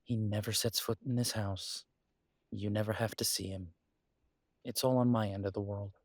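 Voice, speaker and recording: Low-voiced, easygoing werewolf pack leader played by a man. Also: fairly even intonation, moderate pace, dry recording, clean studio sound. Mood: tender, relaxed. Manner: casual, conversational, hesitant trailing phrases. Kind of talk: audio roleplay drama.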